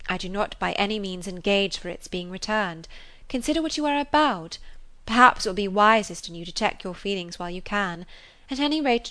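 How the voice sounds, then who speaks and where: A woman voicing a composed, judicious, gently superior young lady in a storybook, speaking in a measured, admonishing manner. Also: mid-range voice, natural voice. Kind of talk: dramatised audiobook character reading.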